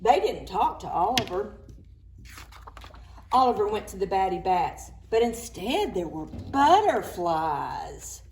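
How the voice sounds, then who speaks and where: Children's librarian, woman, slow and lively story time read-aloud, faint room hiss. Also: mid-range voice. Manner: animated, sing-song, slips into soft whispers.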